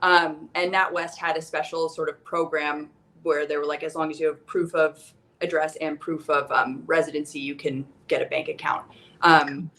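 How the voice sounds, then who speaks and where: A young woman with an American accent talks as a guest on a casual podcast. Her voice sits a little low for a woman. She tells her stories in a chatty, animated way. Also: moderate pace. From United States.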